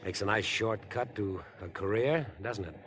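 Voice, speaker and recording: A man's voice: on the low side, normal speed, a bit bright, close to an everyday voice. An actor in a 1950s radio drama playing an overfriendly, wheedling man who obsessively plots murder. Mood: ingratiating. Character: chatty, ingratiating, obsessive murder-plotting man on a train. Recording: vintage 1950s radio broadcast.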